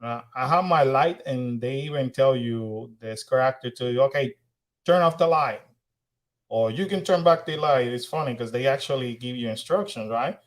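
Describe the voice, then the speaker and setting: A man's voice: mid-range voice, normal speed. A man co-hosting a casual livestream chat, repeating and restarting his phrases. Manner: repetitive, restarts often. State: hoarse after losing voice. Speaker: co-host.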